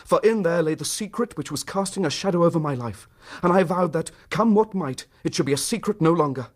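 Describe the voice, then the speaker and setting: An actor playing a troubled, proud Victorian husband in a radio drama, with a British accent and an earnest, formal, wounded manner. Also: male voice, fairly high pitch, lively intonation, fairly quick. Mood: agitated, suspicious. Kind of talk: radio drama acting, narrating his own story.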